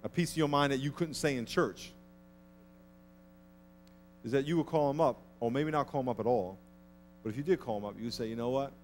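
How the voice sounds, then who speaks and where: Animated preacher man on a church stage. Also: mid-range voice, a little fast, pauses often, slight background noise. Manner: animated, acts out petty grudges in a mocking voice. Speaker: pastor. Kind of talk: sermon. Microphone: headset.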